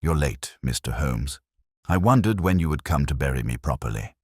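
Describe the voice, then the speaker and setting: A man voicing a weary, grey-haired woman in an audiobook story, speaking softly, steadily and without fear. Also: very deep voice, moderate pace, breathy edge, dry recording, studio quality, heavily acted. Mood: calm reproach. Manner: soft, steady, unafraid.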